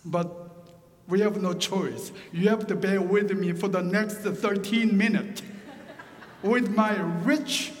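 A middle-aged man, a pastor whose first language is Korean, preaching a sermon with a Korean accent, slow and deliberate with joking asides. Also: high-pitched, big-room sound.

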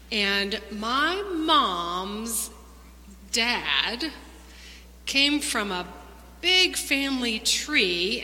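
A woman with a high, bright voice gives a children's talk in a church. Her phrasing is simple and conversational. The hall rings with long reverb and there is some background noise. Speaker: church children's minister leading children's moment. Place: large church sanctuary.

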